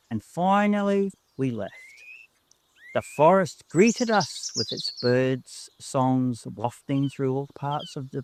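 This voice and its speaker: A man reading a first-person story as an audiobook narrator, in an expressive storybook style. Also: medium pitch, background noise.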